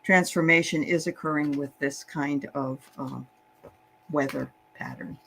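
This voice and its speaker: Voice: mid-range voice, steady tone, a little slow, gravelly, a bit bright, a little hiss or hum. A host of a psychic show giving readings in a casual, encouraging chat.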